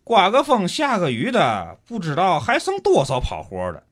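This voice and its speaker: A man's voice: sits high, wildly swooping pitch, moderate pace, exaggerated voice. A man with a Tianjin accent acting a grumbling, pompous city schemer in a comic audio drama, theatrical and colloquial. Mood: grumbling, irritated.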